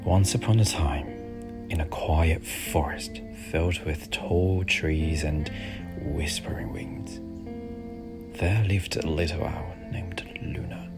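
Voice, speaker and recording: A man softly narrating a soothing ASMR bedtime story in a gentle, unhurried, hushed manner over music. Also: a bass voice. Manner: gentle, hushed, unhurried, at times near a whisper. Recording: music behind the voice.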